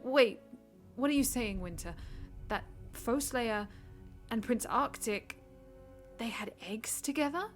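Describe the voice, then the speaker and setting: A woman's voice: on the high side, lively intonation, slow, pauses often, faint music behind. A woman playing an earnest, questioning young dragon in an audio drama. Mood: probing. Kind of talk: fan audio drama acting.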